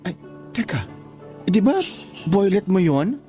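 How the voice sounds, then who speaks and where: An actor voicing a woman who is a teasing, blunt office friend, with exaggerated, drawn-out delivery in a radio drama. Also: medium pitch, animated, dark tone, soft background music, lightly acted. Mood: playful, teasing. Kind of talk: Tagalog radio drama dialogue.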